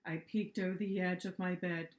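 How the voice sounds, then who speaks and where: A woman reading a children's story aloud as an author, with expressive narration. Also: fairly low pitch, moderate pace. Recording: echoey room.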